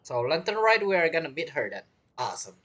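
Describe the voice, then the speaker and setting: Lively casual game streamer woman. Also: deep voice, quick pace. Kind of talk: casual gameplay commentary.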